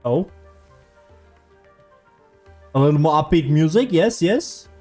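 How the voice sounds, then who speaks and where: A man's voice: on the high side, lively intonation, takes it slowly, darkish tone, faint music behind. A man streaming a game with casual live commentary in short, offhand remarks.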